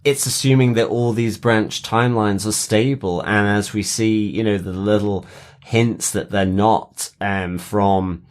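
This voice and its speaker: A man co-hosting a podcast in a casual discussion of TV, speaking in a halting but emphatic way. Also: lowish voice, fairly even intonation. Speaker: podcast co-host.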